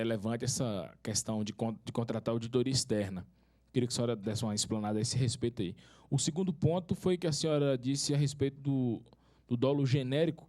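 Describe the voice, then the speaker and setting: A man's voice: medium pitch, dark tone. A man from northern Minas Gerais, a city councillor asking a long, formal question from the audience with many hesitant fillers. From northern Minas Gerais, Brazil. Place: conference hall.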